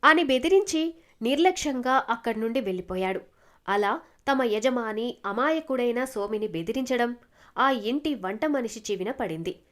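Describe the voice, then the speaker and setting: A woman's voice: a little high, expressive, moderate pace. A woman narrating a scripted moral story as its storyteller.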